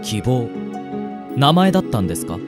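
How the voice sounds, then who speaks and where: A man's voice: medium pitch, very animated, moderate pace, lightly acted. A man playing an earnest, punctilious android assistant in a radio drama, speaking in formal, polite, precise phrases. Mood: curious, subdued. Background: music.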